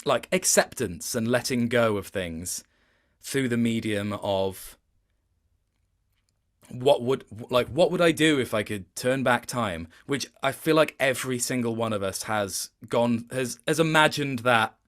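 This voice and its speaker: A man's voice: medium pitch, measured pace, a bit bright. A man giving a casual, reflective interview as a guest, speaking thoughtfully and often rephrasing as he searches for words.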